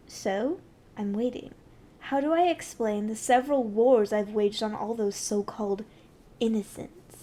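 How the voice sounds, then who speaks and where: A woman's voice: fairly high pitch, mellow. A woman playing a haughty, condescending evil sorceress queen in an ASMR roleplay, with an imperious, theatrical and mocking delivery. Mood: irritated, imperious.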